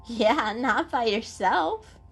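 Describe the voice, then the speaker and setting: Lady doing a cute girl kitten, bubbly n kind, high swoopy voice, storybook read, bit of music. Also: animated, slow pace, creaky, heavily acted. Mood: reassuring. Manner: bubbly, giggly, reassuring. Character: young female cat, cute, playful, kind and encouraging. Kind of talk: dramatic children's story reading.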